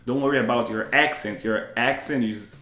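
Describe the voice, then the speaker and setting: A man's voice: medium pitch, normal speed, bright, forceful tone. Brazilian man chatting in a roomy space. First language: Portuguese. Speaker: English teacher, interview guest. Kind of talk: casual conversational interview.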